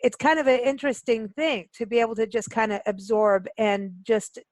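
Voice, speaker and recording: A woman's voice: fairly high pitch, fairly even intonation, moderate pace, no room sound. A woman hosting a radio interview as a casual chat, informal and effusive in how she talks.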